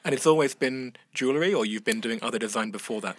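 A man of middle pitch asks his guest questions in a measured, curious way. The sound is dry, with no room around it. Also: no room sound. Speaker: podcast host. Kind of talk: interviewer.

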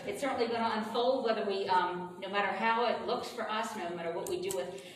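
A woman pastor speaking informally to her congregation in a chatty, folksy, unhurried way, as she welcomes them and leads prayer. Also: medium pitch, a crowd in the background. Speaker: pastor leading a church service. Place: large echoing room with a congregation. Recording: roomy, distant, noisy church service recording.

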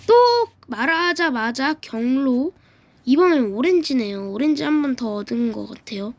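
High-voiced woman chatting through a game. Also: gravelly, no echo. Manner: chatty, reacts aloud with quick exclamations. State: getting over a throat cold. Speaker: gaming YouTuber. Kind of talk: casual game commentary.

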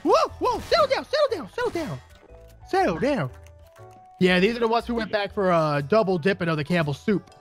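A young man improvises a playful gameplay roleplay. His delivery is excitable and clowning, with huge swings in pitch and frequent exclamations. Game music sits faintly behind his voice. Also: brisk. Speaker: gaming video player.

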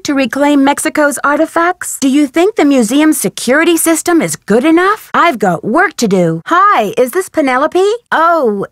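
Casting: young female sleuth for adventure game, high voice, polite, curious, clearly spoken, dry studio sound. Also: a bit bright, no room sound. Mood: polite, puzzled. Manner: inquisitive, clearly enunciated questioning. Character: curious, polite young woman amateur detective. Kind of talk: scripted video game dialogue.

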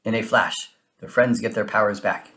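A man reading a children's story aloud in an expressive, animated way with dramatic emphasis. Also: lowish voice, moderate pace, very noisy. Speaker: storybook reader. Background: music.

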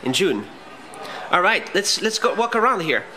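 A man's voice: high-pitched, very animated, moderate pace, a crowd in the background, rough recording. A man interviewing casually on a walk-around tour, inquisitive, with short, repeated questions. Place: busy small factory and office. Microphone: handheld camera, speaker behind it. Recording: faint workplace noise.

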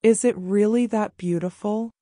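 A woman doing a gentle old grandmother. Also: mid-range voice, moderate pace, no room sound, studio quality, close to an everyday voice. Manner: gentle, calm, firm. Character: kind patient old grandmother. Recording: music playing behind.

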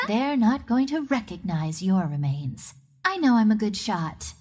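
A woman's voice: mid-range voice, very animated, unhurried, husky. A woman voicing a cocky, playful young archer of the wind in a video game, with a confident, teasing manner. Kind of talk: video game character voice lines.

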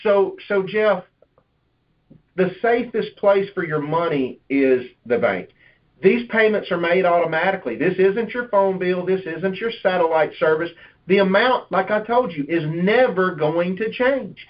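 A man's voice: sits high, expressive, measured pace. A man coaching sales in a conversational, persuasive and explanatory way over a conference call line. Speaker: insurance sales manager and trainer. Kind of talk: conversational sales coaching with role-play pitches. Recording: dull, lo-fi conference call audio.

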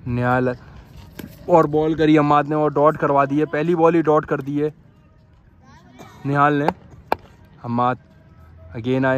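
Young man, cricket commentator, repetitive excited calls, slightly high voice, outdoor phone recording. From Pakistan. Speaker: commentator filming a street cricket match. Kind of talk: live ball-by-ball commentary. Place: outdoor dirt cricket ground.

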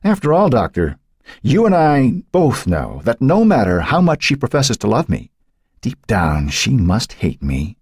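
A man reading the part of a cold, sly woman who is a hidden second personality, speaking in a husky voice. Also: mid-range voice, huge pitch swings, a little slow, a little mellow, dry recording, studio quality, pitched above the performer's own voice, exaggerated voice. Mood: taunting. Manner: taunting, sly, icy.

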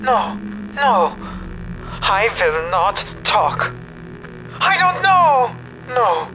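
Tortured prisoner guy acting, high n desperate, muffled noisy radio sound. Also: big pitch swings, extremely slow, heavily acted. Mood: anguished. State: distressed. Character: desperate captured man resisting interrogation. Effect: radio filter. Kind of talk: audio drama acting. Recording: dull, noisy humming radio signal.

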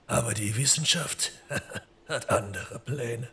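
A man voicing a mysterious goat-man of the woods in an audio horror story, gravelly and husky, cryptic and dry-witted. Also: medium pitch, pushed and bright, full character voice. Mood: dryly wry. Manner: slow, cryptic, deliberate.

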